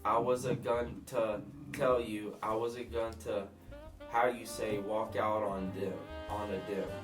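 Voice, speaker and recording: A man voicing an immigrant prisoner in a story, halting in broken English with a resonant voice. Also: steady tone, lightly acted. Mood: calm, confiding. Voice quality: deep, resonant. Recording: echoey room, off mic, guitar music behind.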